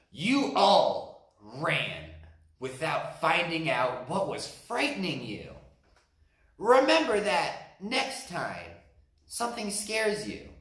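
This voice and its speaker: A man voicing a calm, wise male lion who speaks slowly and firmly. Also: sits high, heavily acted. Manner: slow, deliberate, firm. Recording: roomy echo.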